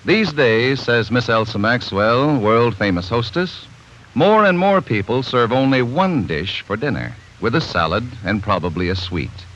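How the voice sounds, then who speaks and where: A man announcing a sponsor's commercial in a smooth, persuasive 1940s radio style. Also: mid-range voice, sing-song, measured pace, fairly bright tone. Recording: muffled 1940s radio broadcast.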